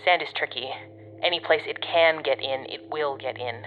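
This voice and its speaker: Casting: actress for exasperated female handler in an audio drama, low-pitched, commanding and wry, heard through a narrow radio band. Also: moderate pace, faint music behind. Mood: wry, instructing. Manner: bossy, sardonic, swears. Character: gruff, exasperated, protective woman guiding a colleague over radio.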